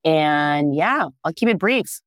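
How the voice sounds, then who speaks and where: A woman's voice: low voice, animated, takes it slowly, dry recording, clean studio sound. A woman in her thirties, born and raised in Israel, answering interview questions as a startup founder, thoughtful and full of "you know" fillers. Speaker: startup founder and CEO, guest.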